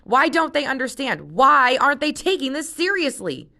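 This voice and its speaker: A woman voicing a fierce, defiant teenage girl from a read-aloud story, talking loud and insistent. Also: high-pitched, very animated, normal speed, vocal fry, voice pushed up, exaggerated voice.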